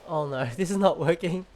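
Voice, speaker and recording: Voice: mid-range voice, normal speed, a little mellow. A gamer making casual, off-the-cuff commentary to themself while playing a video game. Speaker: player streaming a video game playthrough. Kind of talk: casual gameplay commentary, talking to self. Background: game music.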